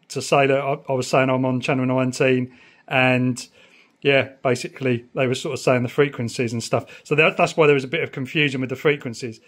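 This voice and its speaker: A man's voice: mid-range voice, a bit bright. A man, chatting enthusiastically and explaining things casually like a hobbyist vlogger. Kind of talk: casual explanatory vlog chat. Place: room in a house.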